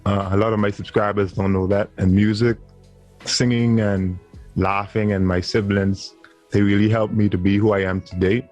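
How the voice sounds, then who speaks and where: A man's voice: fairly low pitch, little pitch movement, normal speed, darkish tone, lo-fi sound, soft background music. A man in his twenties with a Bahamian accent, talking earnestly as a guest on a video-call interview. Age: 20s. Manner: earnest, laughs easily. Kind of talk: casual interview chat.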